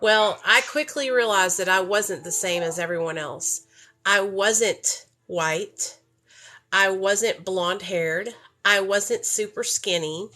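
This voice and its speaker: A woman's voice: medium pitch, slow pace, pushed and bright. A young woman from the rural United States, candidly telling her personal story to a group and addressing them directly. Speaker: speaker sharing her recovery story.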